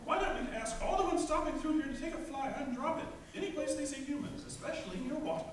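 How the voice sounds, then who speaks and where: A jolly, proper English rooster in a radio play, high and very animated. It comes from far off in an echoey, noisy hall. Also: male voice, quick pace. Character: jolly English rooster, excitable and proper, a talking farm bird. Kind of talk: stage radio play acting.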